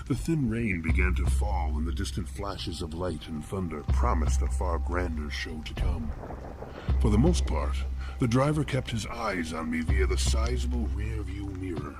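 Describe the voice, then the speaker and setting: An actor playing a cold, menacing killer who narrates his own horror tale in formal, ornate, measured language. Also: low voice, expressive, over music. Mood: ominous calm. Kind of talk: scripted first-person horror narration.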